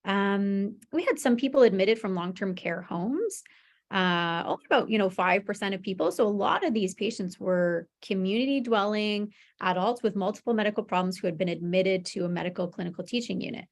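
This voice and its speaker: A woman's voice: mid-range voice, brisk. A woman presenting medical research in a webinar, explaining conversationally with informal check-ins. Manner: informal explaining with frequent "you know" and "right?" check-ins.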